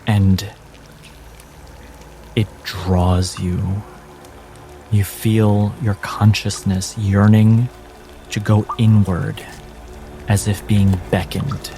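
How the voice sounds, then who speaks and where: A man game master narrating a tabletop game dramatically, with deliberate, suspenseful pauses. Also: lowish voice, level tone, dark tone. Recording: music behind the voice. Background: music.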